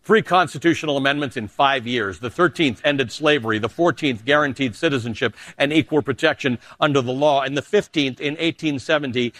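A middle-aged man from Canada, a news anchor delivering emphatic political commentary and a personal essay, dropping low for personal asides. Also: brisk, few pauses, a bit bright, no room sound.

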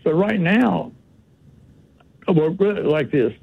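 A man calling in to a radio show, talking in a conversational way with halting restarts and pitch that jumps when he gets emphatic. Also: expressive, gravelly, breathy edge. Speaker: caller. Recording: tinny phone line.

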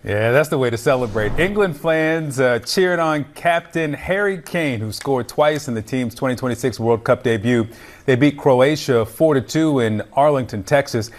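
A man hosting a news broadcast, reading sports results and interviewing a guest in a conversational way with a few fillers. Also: medium pitch. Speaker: news host.

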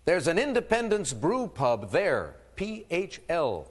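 A man's voice: on the high side, huge pitch swings, slow pace, big-room sound. A man hosting a quiz show, reading clues and teasing contestants with playful asides. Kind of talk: game show hosting with banter.

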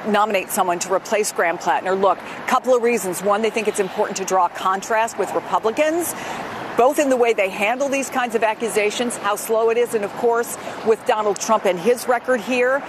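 A woman political reporter giving a live remote report, speaking emphatically and deliberately. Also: medium pitch, noisy background.

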